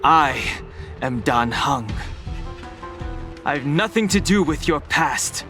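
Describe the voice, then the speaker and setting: A man's voice: fairly high pitch, expressive, slow pace. An actor playing a guarded, stoic young man in an anime game, speaking in a terse, earnest, firm way. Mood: firm, defensive. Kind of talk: dramatic anime game voice acting. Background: cinematic game music.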